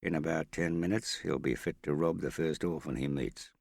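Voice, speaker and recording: A rough, contemptuous man speaks with open scorn, voiced by a male narrator. His voice is very low and gravelly, close and dry. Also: steady tone, moderate pace, dry recording, heavily acted. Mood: bitter. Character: contemptuous, brusque man full of hatred.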